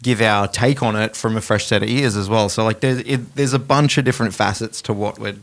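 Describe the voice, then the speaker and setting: A man's voice: lowish voice, fairly even intonation, a little fast, creaky. A man co-hosting a music podcast in a casual, blokey, irreverent way. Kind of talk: casual chat.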